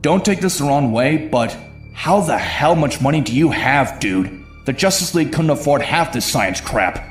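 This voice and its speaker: Man acting young cartoon antihero, medium-pitched voice, dry and sardonic, brooding inner monologue, music underneath. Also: expressive, moderate pace, natural voice. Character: wry, brooding young male vigilante antihero. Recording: music behind the voice.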